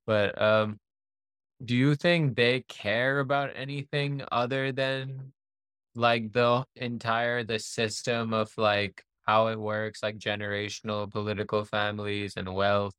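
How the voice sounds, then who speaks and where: A man's voice: on the low side, little pitch movement, a little slow, fairly bright tone, clear, resonant tone, no echo. A man raised in Pakistan and born in the US, hosting a casual podcast and circling toward his questions in a rambling way. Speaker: podcast host. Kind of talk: casual conversational interviewing.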